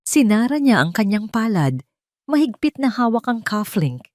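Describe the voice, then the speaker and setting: A woman's voice: on the high side, sing-song, normal speed, darkish tone, no room sound, clean studio sound. A woman narrating a scripted dramatic story in a solemn, emotive manner.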